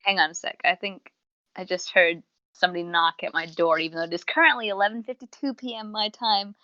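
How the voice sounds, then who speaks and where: A woman's voice: medium pitch, a little fast, sharp tone, no echo. A young woman talks as a podcast co-host in a casual, chatty way. She wanders into tangents and cuts across her own sentences. The sound is close and dry, with a slightly muffled lo-fi quality. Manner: chatty, tangent-prone, self-interrupting. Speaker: podcast co-host reviewing audio dramas. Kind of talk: casual rambling review chat. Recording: dull, lo-fi close dry mic.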